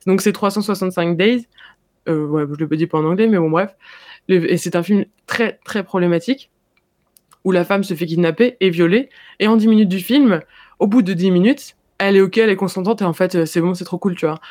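A young adult in casual discussion, talking hesitantly with many pauses and filler sounds. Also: mid-range voice, darkish tone, no echo, clean studio sound.

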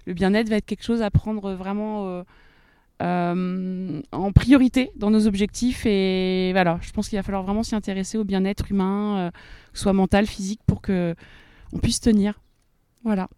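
A woman in her thirties answers an interview question spontaneously. Her voice is clear and mellow, with a dry sound. She hesitates as she forms her thoughts. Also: medium pitch, fairly even intonation, moderate pace, no echo. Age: 30s. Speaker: street interviewee.

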